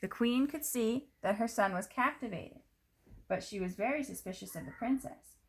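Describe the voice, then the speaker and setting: A woman reading a children's picture book aloud, in expressive narration with dramatic emphasis on descriptive words. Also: on the high side, normal speed, rough recording.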